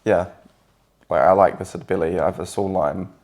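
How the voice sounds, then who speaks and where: An English man talking casually with his partner, relaxed and hesitant, with many fillers. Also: low voice, moderate pace. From England. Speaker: guest partner. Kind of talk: casual chat.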